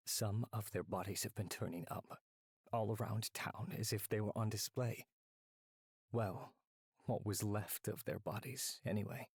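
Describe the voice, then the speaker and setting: A man voicing a nervous, reluctant waiter in a fantasy visual novel, husky and breathy, speaking haltingly and hushed. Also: fairly low pitch, no echo, studio quality.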